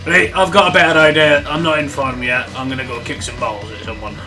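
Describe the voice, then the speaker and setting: A man acts out a brash Scottish gamer with a bright, forceful voice and a blunt, sweary way of talking. He gives comic gameplay commentary and gets shouty, with music playing behind him. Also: medium pitch, steady tone, a little fast, natural voice. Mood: brash, chatty. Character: brash, foul-mouthed, angry-sounding Scottish man.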